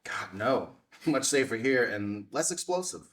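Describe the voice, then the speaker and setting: A man's voice: medium pitch, big pitch swings, a little slow, fairly bright tone. A man reading the part of a wry, affectionate, hungover reporter in his forties at a script table read.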